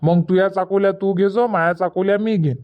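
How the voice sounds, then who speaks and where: Guy doing an impatient village kid, high voice, argues and whines, varhadi marathi accent, dull audio. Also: steady tone, fairly quick, clear, resonant tone, close to an everyday voice. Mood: bargaining. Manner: whiny, argumentative. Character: impatient, stubborn village boy. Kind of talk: cartoon comedy dialogue.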